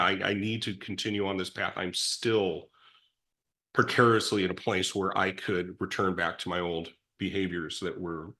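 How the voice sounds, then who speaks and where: A middle-aged man in recovery telling his story in a reflective, rambling way with many restarts and self-deprecating asides. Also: low-pitched. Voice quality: big loud voice. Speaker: guest in recovery.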